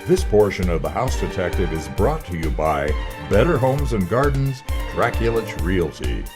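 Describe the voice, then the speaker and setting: A man's voice: sing-song, normal speed, over music. A man announcing sponsors for a radio show in an emphatic, scripted announcer style. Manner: emphatic announcer delivery with big pitch swings.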